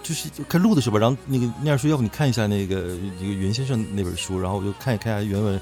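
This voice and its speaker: A man's voice: lowish voice, racing, a little mellow. A man chatting casually as an interview guest, hesitant and often repeating short words of agreement. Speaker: voice actor guest. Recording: music behind the voice. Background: music.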